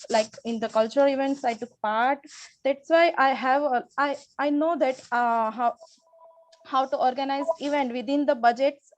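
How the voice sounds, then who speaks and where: A woman's voice: high voice, poor audio. A woman in her twenties from India, speaking, giving an earnest, formal self-introduction as a student candidate on a video call. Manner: earnest, formal, plain phrasing. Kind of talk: prepared self-introduction speech.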